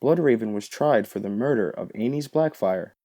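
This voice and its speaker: A man's voice: medium pitch, expressive, normal speed, mellow. A man hosting a video with scripted explainer narration. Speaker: video host.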